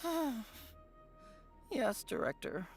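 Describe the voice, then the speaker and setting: An actor voicing a hesitant, resigned funeral parlor employee in a video game, halting and doubtful. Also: high voice, sing-song, gravelly, soft background music, full character voice.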